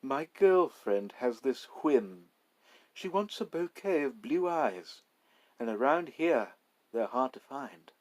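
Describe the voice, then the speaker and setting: Storybook mugger, played by a male narrator: raised pitch, sweet pained tone, courteous and coldly threatening. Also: animated, slow pace. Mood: polite menace. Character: soft-voiced male knife-wielding robber, polite yet menacing.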